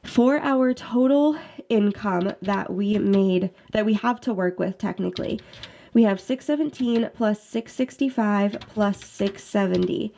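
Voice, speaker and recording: Stuffy-nosed twenty-something woman with slight fry. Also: mid-range voice, unhurried, warm tone, slight background noise. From Maine, US. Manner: chatty and effusive, thanks viewers often. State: stuffed up with a head cold. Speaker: budgeting YouTuber. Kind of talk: casual chat to camera.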